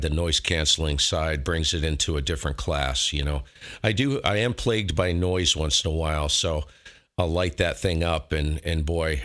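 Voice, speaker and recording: A man's voice: rumbling low, fairly bright tone. A man chatting casually and folksily over amateur radio, rambling a little and poking fun at himself. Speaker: amateur radio operator and host recording his contacts. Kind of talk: casual ham radio chat.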